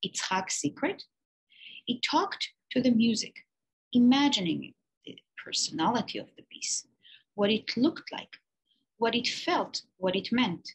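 A woman's voice: on the high side, animated, measured pace, pauses often, husky, poor audio. A woman teacher reading a children's picture-book biography aloud, with lifted emphasis on key words.

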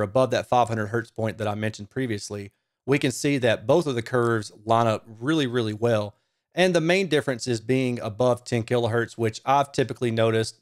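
A man, presenting a technical explainer in a measured, informal way at a close microphone. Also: mid-range voice. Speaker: audio reviewer and presenter. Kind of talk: technical explainer, semi-scripted. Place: small home studio room. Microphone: microphone on a stand close in front.

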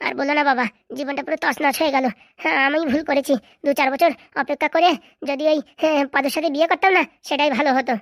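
Woman as a shrill, rapid cartoon young wife. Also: steady tone, no room sound, studio quality. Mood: aggrieved. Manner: argumentative, emphatic, complaining. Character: aggrieved, stubborn young newlywed wife, spoiled daughter. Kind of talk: comic cartoon drama dialogue.

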